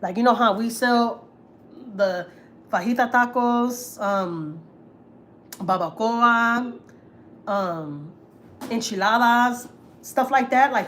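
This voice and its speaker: A woman's voice: mid-range voice, slight background noise. A woman, hosting a casual livestream and rambling through frank slang and fillers. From Houston, Texas. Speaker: livestream host. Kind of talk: casual livestream chat, reading viewer comments. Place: at home.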